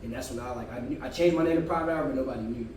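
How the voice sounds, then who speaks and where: A raspy-voiced man in his thirties, a podcast guest, telling his story in a laid-back, casual way. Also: medium pitch, rapid-fire, a little mellow. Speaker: guest musician. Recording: echoey room, distant mic, background noise.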